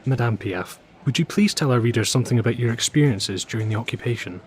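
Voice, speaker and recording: A man's voice: mid-range voice, fast, a little hiss or hum, close to an everyday voice. Actor as a polite, eager newspaperman. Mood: deferential. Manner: polite, obliging. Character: deferential, eager male newspaper interviewer. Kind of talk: audio drama acting.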